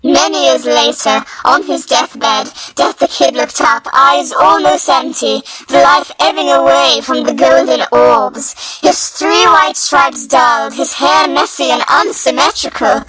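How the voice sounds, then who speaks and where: Bright, high-voiced woman reading a story. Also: unhurried, few pauses, faint music behind, rough recording. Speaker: fanfiction reader. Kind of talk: dramatic scripted story narration.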